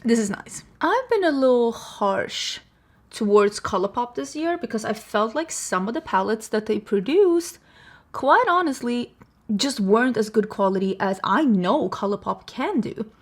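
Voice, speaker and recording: Swedish-accented woman, beauty vlogger, high and animated, casual self-mocking chat. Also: a little slow. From Sweden. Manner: chatty, self-deprecating, laughs often. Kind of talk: casual makeup tutorial chat.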